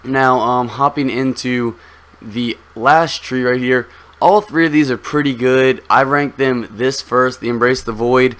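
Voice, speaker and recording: A young man with a slightly hoarse voice, giving relaxed, rambling game commentary full of ums as a gaming video host. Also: medium pitch, unhurried. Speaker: gaming YouTuber. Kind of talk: casual gameplay commentary.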